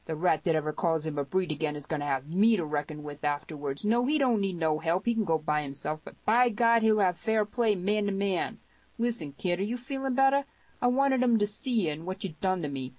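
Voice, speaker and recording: A woman voicing a huge, rough, loud frontier brawler in an audiobook Western, fierce but fair, blustering and emphatic. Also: medium pitch, expressive, fairly quick, dry recording, lo-fi sound, pitched above the performer's own voice, natural voice. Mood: commanding, elated. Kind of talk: dramatised audiobook dialogue in Western dialect.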